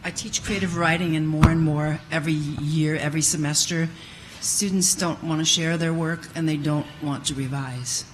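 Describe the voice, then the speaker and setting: A woman's voice: sits low, fairly even intonation, moderate pace. A woman who teaches writing, asking an informal question from the audience at a live event. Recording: live room with background noise.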